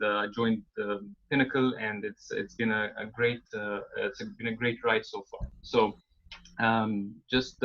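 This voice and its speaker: Hesitant marketing consultant, muffled webinar audio. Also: male voice, lowish voice. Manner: hesitant, many ums and uhs, friendly. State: sleepy, up at 5 AM. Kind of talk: webinar presentation.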